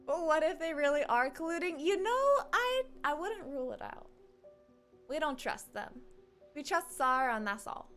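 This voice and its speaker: A woman chats casually on a game livestream. Her voice is high and very animated, and her manner is playful as she talks to viewers. Faint music sits behind her. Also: moderate pace. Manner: chatty, playful, reacting to viewers. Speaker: live streamer. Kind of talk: casual livestream chat over gameplay.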